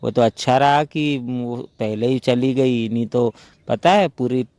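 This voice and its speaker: A man's voice: medium pitch, a little slow, a little mellow, no room sound, natural voice. A man playing a chatty, flirtatious unmarried brother-in-law in a staged phone-call role-play, talking in a casual, rambling, gossipy way. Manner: conversational, gossipy, rambling storytelling.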